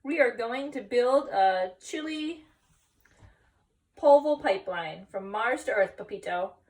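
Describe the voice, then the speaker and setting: A woman's voice: a little high, animated, slow, darkish tone. A woman voicing a tiny, chummy male storybook dog with slangy, Spanish-sprinkled speech. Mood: proud, chummy. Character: Paquito Tito, tiny chummy male dog, smallest of pack.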